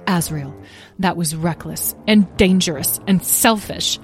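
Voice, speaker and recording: A woman voicing a concerned mother dragon in a storybook, with a measured, restrained, serious manner. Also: lowish voice, a little mellow, faint music behind, close to an everyday voice. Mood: stern, restrained.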